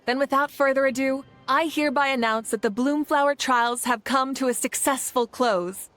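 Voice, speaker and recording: An actress voicing a dignified woman leader of united tribes in a video game, speaking in a measured, proclaiming manner. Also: fairly high pitch, natural voice. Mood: formal, proud. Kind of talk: video game voice acting, formal speech.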